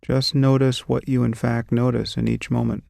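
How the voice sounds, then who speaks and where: A man calmly guiding a meditation, giving slow, gentle instructions about breath and sensation. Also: medium pitch, gravelly, dry recording, studio quality. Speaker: meditation guide. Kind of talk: guided meditation.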